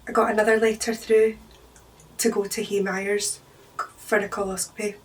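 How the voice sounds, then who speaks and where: Scottish woman in her thirties, flat delivery. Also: medium pitch, normal speed, recorded from a distance, poor audio. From Scotland. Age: 30s. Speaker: storyteller. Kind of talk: personal testimony.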